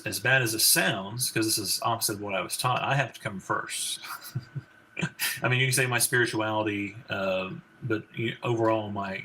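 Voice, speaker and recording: Guest spot: forties man, low gravelly baritone, rambling talk full of fillers, faint background hiss. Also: pushed and bright, poor audio. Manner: rambling, many fillers and restarts. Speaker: guest, physician and country singer. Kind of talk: casual interview conversation.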